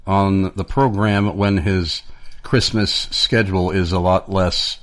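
Man, lowish voice, radio host, measured, hesitant fillers. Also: steady tone, slight background noise. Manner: plain, measured delivery with frequent "uh" fillers. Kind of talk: radio interview.

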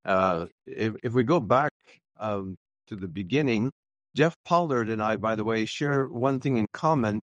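A man's voice: lowish voice, measured pace, no echo, highs cut off. An older man, a pastor, sharing his testimony earnestly with frequent fillers and restarts. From Louisiana, US. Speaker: pastor, guest. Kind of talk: personal testimony in a radio interview.

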